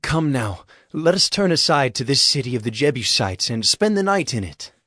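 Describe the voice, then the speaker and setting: A man's voice: mid-range voice, lively intonation, moderate pace, pushed and bright, dry recording, clean studio sound. An actor playing a practical young male servant, earnest, in a dramatized scripture production. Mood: urging. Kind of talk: dramatized audio Bible acting.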